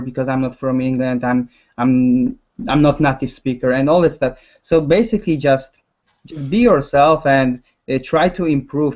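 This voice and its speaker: A man with a Polish accent, a concept artist speaking English as a second language in a casual chat, halting and often restarting his words. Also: mid-range voice, a little mellow, highs cut off.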